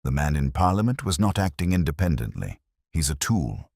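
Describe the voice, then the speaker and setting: A man plays a shrewd Victorian detective in an audiobook story. The voice is extremely low and deliberate, commanding in tone, with expressive swings and a dry, close sound. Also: gravelly, dry recording, heavily acted. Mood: commanding, strategic.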